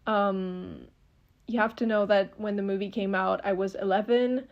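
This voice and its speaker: A woman's voice: medium pitch, steady tone, moderate pace. Young French woman, muffled home recording. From France. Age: 20s. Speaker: listener submitting a recording. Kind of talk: prepared personal statement.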